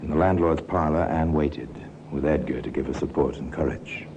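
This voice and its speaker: A man narrating a 1950s radio crime drama in a grave, deliberate, ominous manner. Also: a little mellow, muffled recording. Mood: grave, measured. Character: grave male storyteller of a true-crime tale. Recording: noisy old radio recording with rumble.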